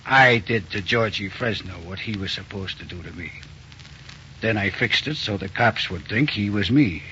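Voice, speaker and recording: Menacing, sharp-edged gangster. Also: male voice, fairly low pitch, animated, moderate pace. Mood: gloating menace. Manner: slangy, curt tough-guy talk. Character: menacing sardonic gangster on the run. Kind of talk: 1940s radio crime drama acting. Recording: dull, hissy 1940s radio recording.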